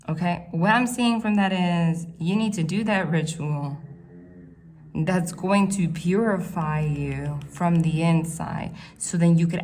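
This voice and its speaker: A woman with a northeastern American accent, from the New Jersey and New York City area, talks through a tarot reading in a loose, rambling way, full of "like" and restarts. Her voice sits a little low for a woman. A faint hiss of room noise stays under her the whole time. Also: darkish tone. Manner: chatty, rambling, frequent "like" and restarts. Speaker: tarot reader. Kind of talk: casual tarot reading to camera. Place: hot room at home.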